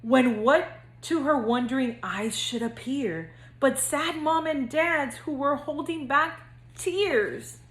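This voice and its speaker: A woman school counselor speaking to young children in a gentle, singsong read-aloud storytelling manner. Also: high-pitched, slow, echoey room.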